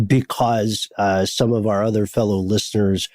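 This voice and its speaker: A man co-hosting a podcast in casual chat, with a deep voice and a habit of murmuring "mm-hmm" as he listens. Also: level tone, normal speed, darkish tone, dry recording. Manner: frequent "mm-hmm" of active listening. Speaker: podcast co-host.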